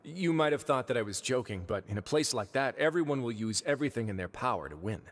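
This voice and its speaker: An actor playing a composed, authoritative duke of a prison fortress in a video game, speaking in a measured, formal way. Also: male voice, mid-range voice, animated. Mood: wry, calm. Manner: measured, formal, dryly understated. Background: faint music.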